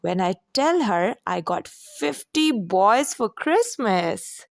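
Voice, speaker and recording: A woman's voice: medium pitch, sing-song, takes it slowly, creaky, dry recording, clean studio sound, heavily acted. A woman voicing a small, polite, wishful young male puppy in a children's storybook. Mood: happy.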